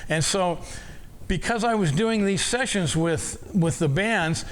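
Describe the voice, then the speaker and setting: A man's voice: sits high, slow pace. Older man telling rambling studio stories. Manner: rambling, many restarts and repeated words. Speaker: audio engineer telling stories. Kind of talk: casual storytelling talk.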